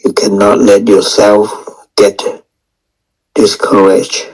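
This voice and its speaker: Slow, low-voiced man guiding meditation. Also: monotone, poor audio. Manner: unhurried, gently repetitive, with long pauses. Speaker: meditation teacher. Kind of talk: guided meditation instruction.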